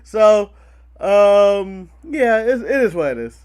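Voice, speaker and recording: A man's voice: sits high, sing-song, takes it slowly, a little mellow, clean tone. A man co-hosting a podcast in casual sports chat, giving opinions and cracking jokes. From United States. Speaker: podcast co-host.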